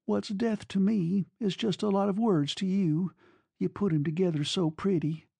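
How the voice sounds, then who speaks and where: A man's voice: high-pitched, fairly even intonation, moderate pace, no echo, pitched above the performer's own voice, full character voice. A man voicing a young woman in love, clinging and desperate, with a raw, pleading manner in an audiobook story. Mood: cold, hurt. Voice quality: soft, breathy delivery.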